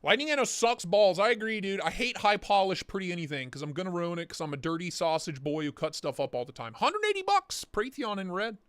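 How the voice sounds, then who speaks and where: This is a young man talking casually on a livestream, his voice hoarse because he is losing it. He sits high and jumps all over in pitch, cursing and breaking into quick mock voices. The sound is dry, close and has no room echo. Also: very animated, fairly bright tone. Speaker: livestream host.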